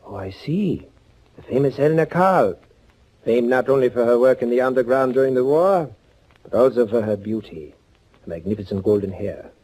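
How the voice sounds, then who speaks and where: An actor playing a bitter young underground avenger in a 1940s radio thriller, sardonic and deliberate, with a husky voice. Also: male voice, mid-range voice, expressive, dark tone, a little hiss or hum, natural voice. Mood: sardonic, guarded. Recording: muffled 1940s radio recording.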